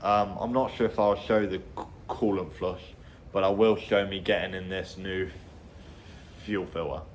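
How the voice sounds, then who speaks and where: A man with a slight cold talking through a car repair in a halting, self-correcting way as he works. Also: sits low, steady tone, slight background noise. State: has a bit of a cold. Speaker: home mechanic. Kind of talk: casual step-by-step repair commentary.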